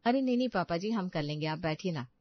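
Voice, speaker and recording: Lady playing sweet careful young bahu, soothing, lowish voice, cartoon story, kinda dull audio. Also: lively intonation, fast talker. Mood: anxious. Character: gentle, cautious young daughter-in-law, elder of two. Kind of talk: cartoon moral story voice acting.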